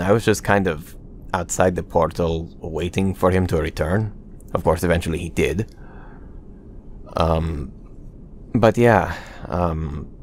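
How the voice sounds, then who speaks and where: A man acts out a reserved male warrior murmuring at bedtime in an ASMR roleplay. The voice is very low and unhurried, halting and awkward between phrases. There is some background noise on the recording.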